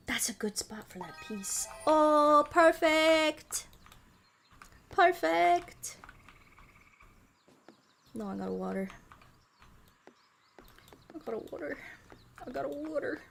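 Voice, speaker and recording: A woman in her thirties, a streamer chatting casually while she plays a puzzle game, playful and quick to poke fun at herself. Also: high-pitched, lively intonation, vocal fry, a bit bright. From United States. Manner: playful, self-mocking, laughs often, tries out broken Spanish and French.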